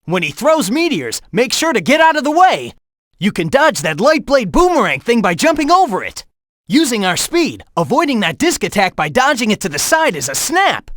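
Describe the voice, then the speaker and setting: Brash game hedgehog hero, teasing and coaching his rival, animated delivery, dry close booth sound. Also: very high voice, moderate pace, dry recording, exaggerated voice. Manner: cocky, teasing banter; urgent warnings and coaching. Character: cocky young male hedgehog hero, fast and teasing. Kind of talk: video game voice acting.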